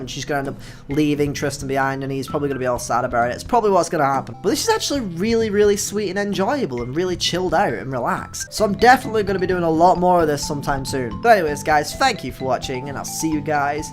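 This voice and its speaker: Let's play host, medium pitch voice, storyteller delivery, music going in the background. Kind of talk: expressive story narration, read aloud. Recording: music playing behind the voice.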